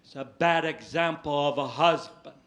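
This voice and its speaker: A man on a comedy stage voicing a stern, commanding God with slow, loud, drawn-out words. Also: on the high side, voice pushed down, close to an everyday voice. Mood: booming, commanding. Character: God, booming, stern, commanding male voice from above. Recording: live stage recording with background noise.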